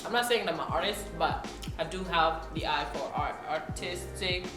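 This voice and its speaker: A woman's voice: lowish voice, moderate pace. A young woman from South Sudan chatting casually to camera as a vlogger while she eats, often checking in with her viewers. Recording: roomy room with faint background music.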